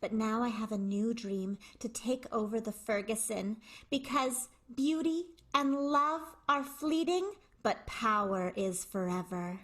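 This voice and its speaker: A woman plays an ambitious burlesque queen in a radio soap. Her voice is distinctly high, dreamy and dramatic, with a hunger for power. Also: a little slow, few pauses, fairly bright tone. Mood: dreamy, scheming. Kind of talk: improvised radio soap opera acting.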